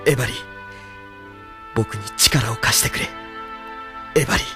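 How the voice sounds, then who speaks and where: Actor as an anguished, earnest young captive. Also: male voice, medium pitch, normal speed, music in the background, close to an everyday voice. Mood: pleading. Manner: heartfelt, solemn. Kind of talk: Japanese audio drama monologue.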